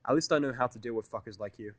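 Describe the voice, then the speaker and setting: A man's voice: mid-range voice, very fast, no room sound, lightly acted. A man voicing an irritable, cynical human male with a sardonic, profane manner in a story reading. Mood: defiant.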